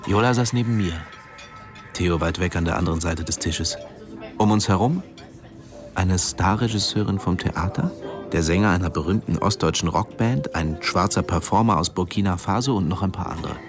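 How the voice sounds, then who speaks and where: An actor playing an infatuated, defiant diving instructor who tells his story in an intimate, measured radio drama narration. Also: low voice, over music. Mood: absorbed, confessional.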